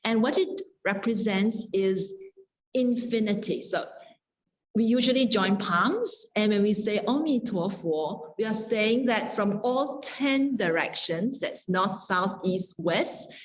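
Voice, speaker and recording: A woman panelist explains her ideas at a markedly unhurried pace. Her pitch sits in an average range for a woman, and her delivery is deliberate and articulate, like a scholar laying out a concept step by step. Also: lively intonation, poor audio.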